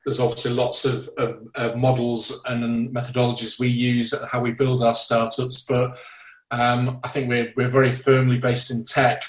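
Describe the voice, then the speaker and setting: Man with an echoey, distant sound. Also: mid-range voice. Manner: jokey, self-deprecating. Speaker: podcast co-host and startup founder. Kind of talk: casual podcast chat.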